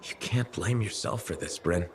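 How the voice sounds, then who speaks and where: An actor playing a laconic, steady freelance soldier in a video game, with a dry, deadpan manner. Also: male voice, sits low, normal speed, slight background noise, natural voice. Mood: concerned. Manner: deadpan, brief replies.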